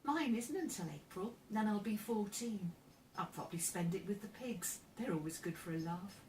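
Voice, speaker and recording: A woman's voice: mid-range voice, moderate pace, dark tone, close to an everyday voice. A woman voicing a plucky, kind thirteen-year-old farm boy with an earnest, informal manner in a storybook reading. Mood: earnest, cheerful. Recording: roomy, distant mic, faint background noise.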